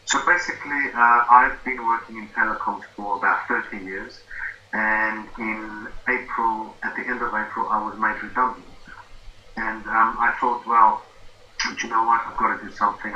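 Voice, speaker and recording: A man's voice: on the low side, bright, forceful tone. South African man on a lo-fi call. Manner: hesitant, many fillers and restarts. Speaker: guest, affiliate marketing student. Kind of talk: casual interview over a call. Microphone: video call. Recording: lo-fi roomy call audio with faint noise.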